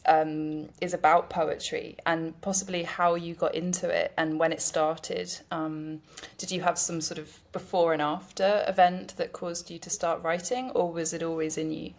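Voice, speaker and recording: A woman's voice: lowish voice, steady tone, unhurried. A woman hosting a conversational podcast interview, speaking in a gracious, informal way. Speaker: podcast host and poet.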